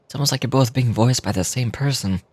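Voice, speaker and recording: An actor playing a polite, earnest, apologetic young man in a fantasy game, speaking in a measured way. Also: mid-range voice, slight background noise, lightly acted. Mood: friendly, musing. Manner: polite, honest-sounding, measured. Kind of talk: video game dialogue acting.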